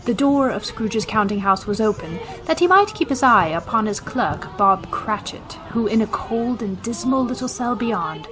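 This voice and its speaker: Woman narrating over clear music. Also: fairly high pitch, expressive, moderate pace, hardly any pauses, poor audio. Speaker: host and narrator. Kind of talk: scripted narration.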